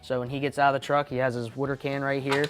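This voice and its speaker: Fast-talking, matter-of-fact male fire chief. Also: medium pitch, little pitch movement, soft background music. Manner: matter-of-fact, practical, plain-spoken. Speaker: volunteer fire battalion chief. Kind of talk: explanatory interview answers.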